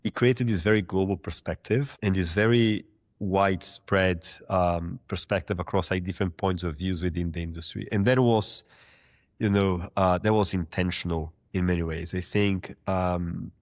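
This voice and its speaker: A man's voice: deep voice, fairly even intonation, moderate pace, dry recording, clean studio sound. A man from Brazil in his thirties with a heavy Brazilian Portuguese accent, telling his story as a podcast guest in loose, rambling talk full of "like" and restarts. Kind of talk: conversational interview.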